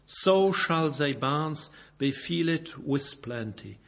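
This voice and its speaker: A man from Belgium, a native French speaker with a Belgian French accent, preaching and reading scripture in halting English, searching for words and correcting himself. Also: a little high, lively intonation, vocal fry, a bit bright. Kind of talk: informal Bible sermon with scripture reading.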